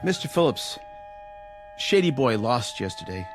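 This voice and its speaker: Hesitant, polite young bettor. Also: male voice, medium pitch, sing-song, a little slow, faint music behind. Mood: aggrieved, insistent. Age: young adult. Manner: deferential, hesitant. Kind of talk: audio drama acting.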